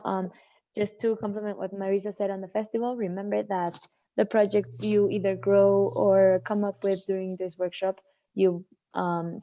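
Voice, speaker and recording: A woman with a low, mellow voice runs an online workshop in a friendly, organized way, often pausing with fillers. She speaks close and dry over a video call. The sound is dull. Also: no echo. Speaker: workshop host and mentor.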